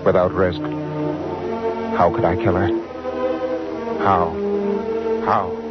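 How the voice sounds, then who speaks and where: An actor playing a brooding married doctor with murderous thoughts, in slow, obsessive inner monologue for an old-time radio drama. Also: male voice, low voice, little pitch movement. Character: brooding married doctor secretly dreaming of killing his wife. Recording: dull old radio recording, loud music.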